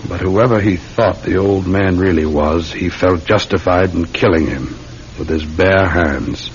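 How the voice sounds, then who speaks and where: Casting: methodical, patient police sergeant, deep voice, deliberate delivery, vintage radio play with muffled, rumbling noise. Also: male voice. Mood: grave, reporting. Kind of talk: classic radio drama acting. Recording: dull, rumbling, noisy old radio recording.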